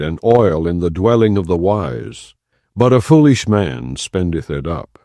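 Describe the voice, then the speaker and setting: A man reading scripture as an audiobook narrator, in a measured, solemn, non-dramatized style. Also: sits low, darkish tone, no echo, clean studio sound.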